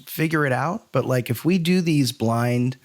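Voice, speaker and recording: A man co-hosting a podcast, debating casually in a blunt, skeptical way with a gravelly edge to his voice. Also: medium pitch, measured pace. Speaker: podcast co-host.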